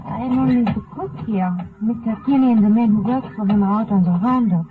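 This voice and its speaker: A woman's voice: on the high side, brisk, natural voice. A woman acting a plain-spoken old ranch cook in a 1950s radio drama. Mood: plain, guarded. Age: older. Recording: muffled narrow-band 1950s radio recording.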